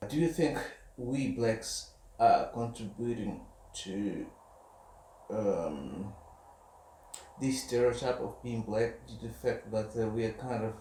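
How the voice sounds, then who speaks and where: A man's voice: on the low side, slow pace, mellow, echoey room, a little hiss or hum, low-quality recording. A male interviewer asking hesitant questions with fillers.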